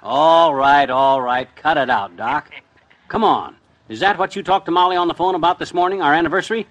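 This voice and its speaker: A man's voice: on the high side, a little fast, a bit bright, close to an everyday voice. A man playing a forgetful, flustered husband in an old-time radio comedy, blustering in folksy, ungrammatical talk. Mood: flustered, apologetic.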